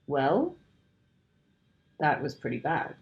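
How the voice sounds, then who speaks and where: Lady doing a sweet earnest little storybook girl, polite n gently insistent, bit of a dull recording. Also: low voice, wildly swooping pitch, slow, gravelly, heavily acted. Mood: gentle, reassuring. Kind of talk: children's storybook character reading.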